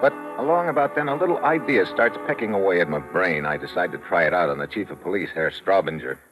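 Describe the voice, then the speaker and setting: An actor playing a tough, wry American secret agent in an old radio detective drama, with clipped, slangy delivery. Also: male voice, sits low, expressive, fast talker, over music. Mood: wry narration. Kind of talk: 1950s radio detective drama acting and narration. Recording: noisy old radio recording.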